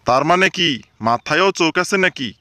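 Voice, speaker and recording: A man's voice: on the high side, animated, moderate pace, sharp tone, dry recording. A man voicing a stern, commanding schoolteacher in a comedy cartoon. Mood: quizzing. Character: stern male schoolteacher quizzing his cheeky pupils.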